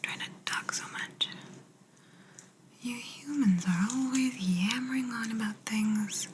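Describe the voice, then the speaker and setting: A woman voicing a chatty, smug alien examiner in an ASMR roleplay, in a soft breathy whisper, unhurried and a little condescending. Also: fairly high pitch, background noise, natural voice. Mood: smug, teasing. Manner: unhurried, fussy, mildly condescending asides. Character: smug, chatty female alien examiner, clinical yet reassuring. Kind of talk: ASMR personal-attention sci-fi roleplay.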